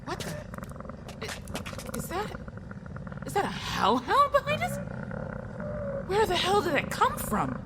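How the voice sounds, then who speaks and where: A woman's voice: very high-pitched, huge pitch swings, slow, pauses often, noisy background, full character voice. A woman playing a sardonic, feisty young warrior with a sarcastic manner in an audio drama. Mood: alarmed.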